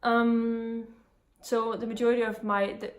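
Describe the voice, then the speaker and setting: A woman's voice: a little high, level tone, measured pace. A young woman from Denmark with a Danish accent, talking casually about books in English, with frequent ums and restarts. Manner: chatty, many ums and restarts. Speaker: book vlogger. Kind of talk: casual vlog chat.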